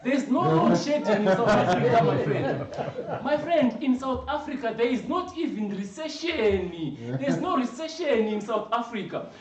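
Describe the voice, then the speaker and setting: An actor playing an excitable young male migrant who keeps saying "my friend". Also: extremely high pitch, normal speed, few pauses, some chatter behind. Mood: excited boasting. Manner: animated, repeats "my friend". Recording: roomy, distant, dull sound.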